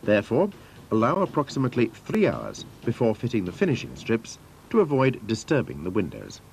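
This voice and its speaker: A man's voice: mid-range voice, huge pitch swings, fairly quick, gravelly, a little mellow. Man, instructional voice-over, very varied intonation, formal and methodical, faint noise of an old video soundtrack. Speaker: training film narrator. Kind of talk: scripted instructional narration.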